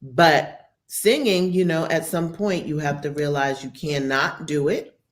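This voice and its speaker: A woman, chatting candidly as a podcast co-host and acting out quotes. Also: a little slow. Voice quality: deep voice. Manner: candid, animated storytelling with acted quotes. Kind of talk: casual opinion chat.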